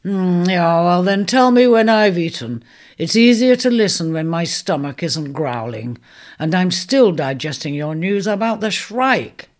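A woman's voice: lowish voice, animated, a little slow. A woman voicing a robust, hearty male centaur who speaks in a big, amused, open-minded way.

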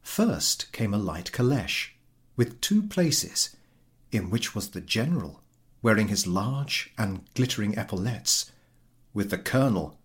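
A man reading a literary story aloud as an audiobook narrator, with measured, theatrical phrasing. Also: on the low side, very animated. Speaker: audiobook reader.

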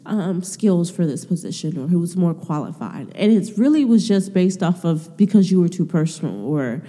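Woman podcast host with a blocked nose, dark low voice, slow rambling delivery, reverb on the recording. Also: animated. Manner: rambling, many filler words. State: stuffy nose, sniffling. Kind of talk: casual faith talk monologue. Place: her room.

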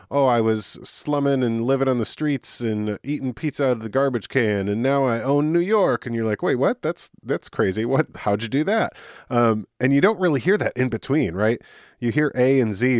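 A man hosting a podcast, talking in a chatty, animated way with plenty of fillers as he interviews a guest. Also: fairly low pitch, no room sound, studio quality. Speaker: podcast host. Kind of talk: casual interview.

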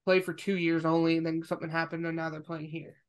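High-voiced young man asking halting questions. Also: level tone, smooth. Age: 20s. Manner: curious, halting questions with restarts. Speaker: podcast host. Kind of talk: casual podcast interview.

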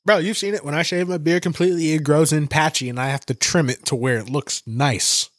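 Man, young, highish voice, relaxed crude podcast banter, dry sound. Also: a little fast, no room sound. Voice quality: high for a man. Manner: laid-back, joking, crude. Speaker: podcast co-host. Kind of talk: casual crude chat.

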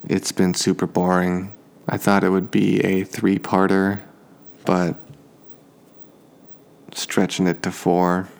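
A tired man hosting a sleep podcast, speaking softly in an unhurried, relaxed and understated ramble. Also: low-pitched, creaky. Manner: slow, deliberately relaxed, understated. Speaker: podcast host. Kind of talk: soft-spoken rambling sleep podcast monologue.

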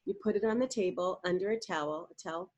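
Animated woman voice coach explaining. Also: fairly low pitch, fast. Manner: animated, explanatory, demonstrates vocal exercises. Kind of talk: podcast interview answer.

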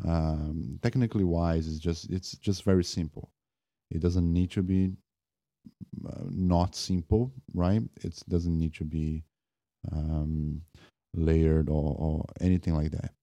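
Low, dark-voiced man musing. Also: fairly even intonation, no room sound, clean studio sound. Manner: halting, many restarts and fillers. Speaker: podcast host. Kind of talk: casual spoken music review.

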